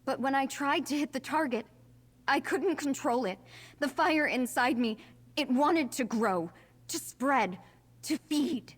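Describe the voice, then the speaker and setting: A woman's voice: high-pitched, measured pace, in a large hall. A woman voicing an anxious young woman who fears her own magic, in a video game. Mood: uneasy, afraid. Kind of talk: video game character dialogue.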